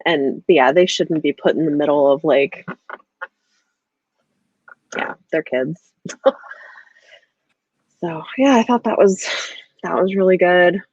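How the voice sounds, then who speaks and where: A woman's voice: deep voice, slow pace, long pauses, a little mellow, husky, no echo, dull audio. A woman in a casual, informal book chat, speaking in an agreeable, hedging way as host. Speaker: host of a book discussion livestream.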